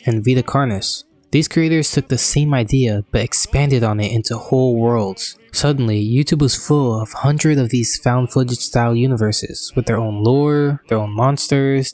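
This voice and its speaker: A man narrating a scripted video essay in a measured, explanatory manner. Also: medium pitch, a little mellow.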